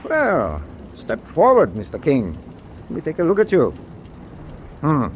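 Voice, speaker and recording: A stern, animated German sea captain. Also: male voice, fairly high pitch, a little hiss or hum, exaggerated voice. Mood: cold, menacing. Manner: clipped, deliberate, imperious, formal. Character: stern, proud middle-aged German captain of a wartime tanker. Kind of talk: radio drama acting.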